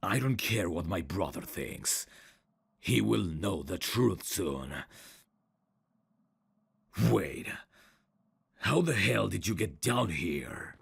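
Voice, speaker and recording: A man voicing a proud, betrayed prince with breathy, dramatic delivery in a fantasy ASMR roleplay. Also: low-pitched, expressive, slow, pauses often, full character voice. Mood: indignant, defiant. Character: proud prince, betrayed and falling under a spell.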